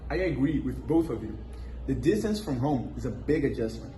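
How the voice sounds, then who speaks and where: A man's voice: mid-range voice, big pitch swings, moderate pace, warm tone. A young man answering interview questions in a scripted school role-play, reading memorized lines evenly. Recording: echoey room with background noise.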